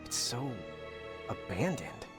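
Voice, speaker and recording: An actor voicing an eager, earnest young hero lad in a video game cutscene. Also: male voice, medium pitch, big pitch swings, slow pace, music in the background. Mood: awed, casual.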